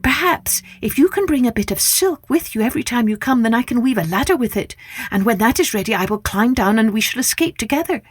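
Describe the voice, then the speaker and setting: A woman's voice: a little high, expressive, quick pace, husky, no echo. A woman voicing a sweet, innocent young captive maiden in a children's fairy tale, light and earnest. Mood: eager, hopeful.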